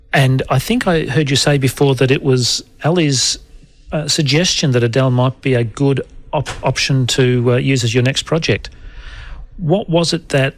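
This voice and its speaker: A man's voice: medium pitch, no echo. Male interviewer, dry close sound. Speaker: radio interviewer. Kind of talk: interview questions.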